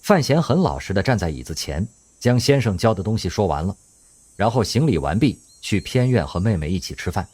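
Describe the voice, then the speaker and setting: Husky male radio drama narrator. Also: lowish voice, brisk. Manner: expressive storytelling with lively shifts.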